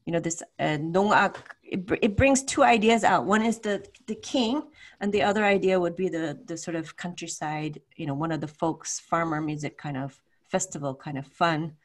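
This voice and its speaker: A middle-aged woman with a low voice speaks as a guest lecturer taking questions on a video call. She thinks aloud, often searching for the right word in an informal way. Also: fairly quick. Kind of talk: informal question-and-answer at an online talk. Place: home room with bookshelves, on a video call. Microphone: large desk microphone.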